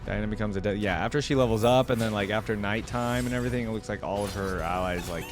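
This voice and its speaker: A man's voice: fairly low pitch, fast talker, music in the background. A man hosting a casual reaction video, informal and thinking aloud as he comments.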